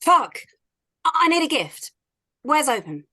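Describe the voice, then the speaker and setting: A woman's voice: sits high, sing-song, measured pace, pushed and bright, full character voice. A woman acting a brash, flippant middle-aged party performer in a theatrical, defiant way for a script read-through. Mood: panicked.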